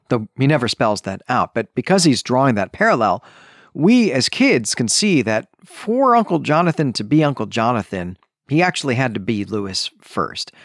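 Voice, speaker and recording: A man's voice: medium pitch, dry recording, studio quality. A man hosting a podcast, talking through a book in a conversational, emphatic and thoughtful monologue. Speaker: podcast host.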